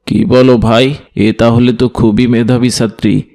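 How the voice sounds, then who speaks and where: A man voicing a generous, pious benefactor in a cartoon, speaking in a measured, moralising way. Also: mid-range voice, flat delivery, mellow, close to an everyday voice. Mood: earnest. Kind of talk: scripted cartoon dialogue.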